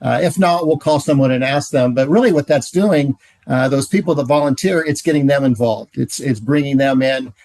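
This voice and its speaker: A middle-aged man giving a relaxed training talk, telling stories with frequent "uh"s. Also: mid-range voice, normal speed, dry recording. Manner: relaxed storytelling, many "uh"s. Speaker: ministry trainer.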